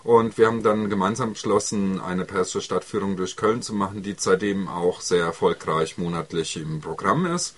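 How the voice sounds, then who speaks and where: Man with a lowish voice, explaining. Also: fairly even intonation, normal speed, hardly any pauses, fairly bright tone, breathy edge. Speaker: city tour organiser. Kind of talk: explanatory interview talk.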